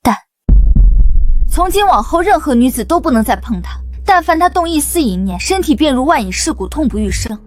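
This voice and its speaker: An actress playing a young wife of a noble house who speaks calmly and cuttingly. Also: female voice, sits high, soft background music. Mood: cold. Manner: calm, measured, cutting.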